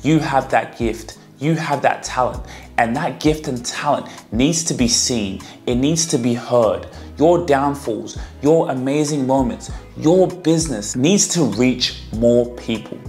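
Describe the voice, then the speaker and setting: A man delivers a promotional pitch as a podcast host, addressing listeners directly. His voice is mid-pitched. Faint music plays underneath. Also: measured pace, darkish tone.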